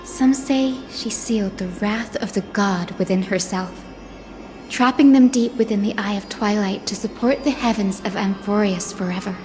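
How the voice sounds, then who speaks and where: A woman's voice: medium pitch, moderate pace, darkish tone, natural voice. A woman voicing an earnest, hopeful young descendant of sky folk in a video game cutscene, with a solemn, poetic storytelling manner. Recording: background music under the voice.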